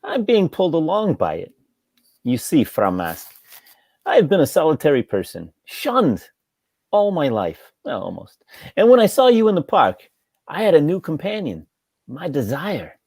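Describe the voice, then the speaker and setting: A woman's voice: low voice, wildly swooping pitch, normal speed, darkish tone, slightly rough, dry recording, exaggerated voice. A woman reading the part of a jealous, lovesick, talkative male barber in a stage comedy table read. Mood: pleading, lovelorn.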